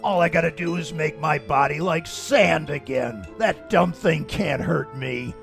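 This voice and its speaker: Man doing a very gravelly, menacing sand villain. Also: fairly high pitch, a little slow, music in the background. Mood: irritated, sneering. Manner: taunting, rough slangy tough-guy talk. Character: menacing male sand villain, sneering thug. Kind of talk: comic dub voice acting.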